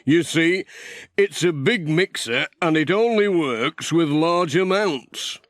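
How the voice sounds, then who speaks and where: A cheerful village handyman from a children's storybook, acted by a male reader. He is eager and generous, pressing his point in a lively, swooping and unhurried voice. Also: a little high, no echo, studio quality, voice pushed up.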